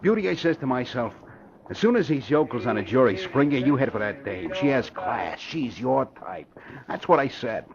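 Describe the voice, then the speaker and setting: Cocky racketeer with swooping pitch. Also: male voice, sing-song, moderate pace. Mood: smug, flirtatious. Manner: swaggering, slangy tough-guy talk. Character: cocky, vain male racketeer, slangy and brash. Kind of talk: 1940s radio acting. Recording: muffled old radio recording, crowd noise.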